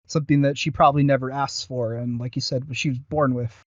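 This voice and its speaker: Man, podcast co-host, casual review chat, halting, self-deprecating, dry muffled lo-fi recording. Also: medium pitch, fairly even intonation, dry recording. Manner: halting, self-deprecating, often loses his thread. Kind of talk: casual chat, reviewing audio roleplay series.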